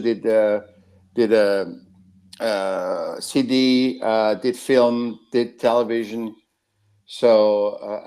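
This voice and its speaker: A man, a guest artist heard over a video call, wanders through his thoughts. His sentences stop and restart, and he circles back to find a word. His voice sits at an ordinary middle pitch for a man. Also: darkish tone. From Austria. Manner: rambling, halting, with many restarts. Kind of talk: casual interview talk.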